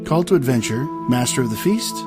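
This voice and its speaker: A man's voice: mid-range voice, lively intonation, a little fast. A man giving scripted narration as the announcer of an audio drama. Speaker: narrator and voice actor. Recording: music behind the voice.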